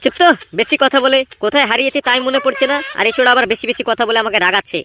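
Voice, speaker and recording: A bright, forceful cartoon gang boss voiced by an actor who talks extremely fast. He blusters and complains, always irritable and quick to get flustered. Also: mid-range voice, a little hiss or hum, close to an everyday voice. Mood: irritated. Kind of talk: comedy cartoon dub.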